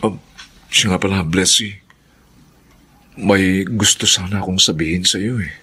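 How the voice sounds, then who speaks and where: A man playing a gentle, generous friend in a radio drama, husky and solicitous. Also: sits low, a little slow, heavily acted. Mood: concerned. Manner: solicitous, questioning. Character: kind, concerned male friend, gentle and generous.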